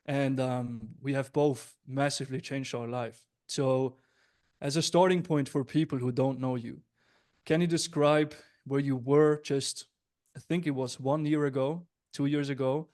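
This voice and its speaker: A young man from Switzerland hosts a casual interview with his voice at an average pitch. He sounds upbeat, friendly and direct, turning to address the listeners now and then. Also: steady tone, unhurried, darkish tone. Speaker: host, business coach.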